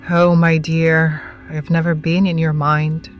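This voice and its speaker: A woman's voice: deep voice, level tone, faint music behind. A woman playing an ancient, starved vampire witch in an audio drama, cruel and mocking, with theatrical menace. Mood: taunting. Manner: slow, taunting, theatrical menace.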